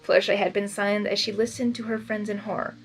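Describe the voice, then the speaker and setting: A woman giving a lively, expressive first-time reading of a fan story. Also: mid-range voice, fast, soft background music, poor audio. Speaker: storybook reader.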